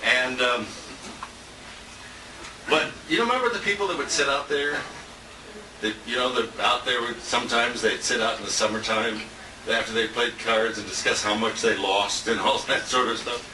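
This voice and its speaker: A man's voice: mid-range voice, normal speed. A man giving an informal tribute speech at a dinner, telling rambling, chuckling anecdotes, picked up by a handheld camera. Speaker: radio colleague giving a tribute. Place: restaurant dinner event, indoors. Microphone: handheld compact camera at a distance. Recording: distant handheld camera, roomy, noisy.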